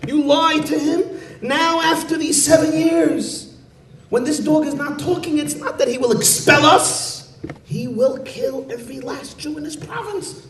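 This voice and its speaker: Panicky scolding elder, as a man storyteller puts him on, high and shrill, echoey room. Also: measured pace. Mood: panicked. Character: frantic older male community leader, scolding. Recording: echoey room, mic far off, faint background noise.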